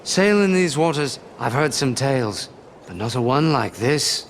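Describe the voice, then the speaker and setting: An actor playing a wary, roguish seafaring adventurer in a video game, with swaggering sailor talk. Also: male voice, medium pitch, sing-song, a little slow, slight background noise. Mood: wry puzzlement. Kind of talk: video game cutscene acting.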